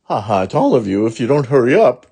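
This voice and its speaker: Storybook mother, performed by a man: brisk, managing, firm reminders, very varied intonation. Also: mid-range voice, sing-song, creaky, dark tone, breathy edge, pitched above the performer's own voice, full character voice. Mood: brisk urging. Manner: brisk, admonishing.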